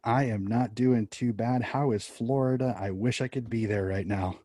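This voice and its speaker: Casual: low mellow guy hosting a video-call interview, upbeat, kinda stumbly on question starts. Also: fairly even intonation, moderate pace, dry recording, studio quality. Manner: upbeat, repeats words when starting questions. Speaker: interview host. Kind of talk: podcast interview.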